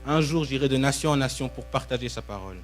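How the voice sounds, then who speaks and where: A young man from Belgium preaches in church at a medium pitch. He speaks in short, emphatic phrases and pauses between them for interpretation. An instrument plays faintly behind him. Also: expressive, over music. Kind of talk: live sermon with pauses for interpretation. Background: musical instrument playing.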